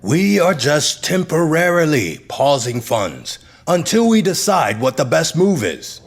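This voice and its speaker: An actor playing an evasive, self-important mayor with a measured, curt manner in an audio drama. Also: male voice, on the high side, big pitch swings, full character voice. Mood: placating.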